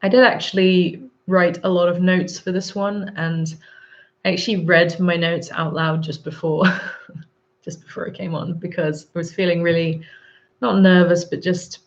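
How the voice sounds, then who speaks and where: A woman in her thirties with a sore throat and a husky voice, hosting a live stream, chatting casually and rambling with frequent ums. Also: on the low side, flat delivery, normal speed, muffled recording. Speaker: livestream host. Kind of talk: live chat reading viewer comments.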